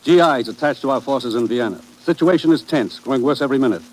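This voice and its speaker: A man plays a serious American commissioner in an old radio drama. He delivers urgent orders at a quick clip in a medium-pitched voice, on a slightly noisy vintage recording. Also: a little mellow, lightly acted. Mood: grave, urgent. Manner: urgent, authoritative. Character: brisk, serious male commissioner briefing his agent. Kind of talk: 1950s radio drama acting.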